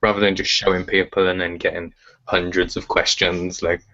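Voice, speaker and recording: A man's voice: deep voice, level tone, normal speed, bright, forceful tone, muffled recording. A man talking as an interview guest, rambling and filling with "like" and "uh". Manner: rambling, fills with "like" and "uh". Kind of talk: casual interview chat.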